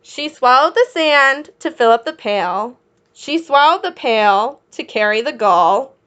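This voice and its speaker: High, bright woman reading to children. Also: animated. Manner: encouraging, quizzes listeners and pauses for answers. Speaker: children's read-aloud teacher. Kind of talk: picture-book read-aloud with questions to young listeners.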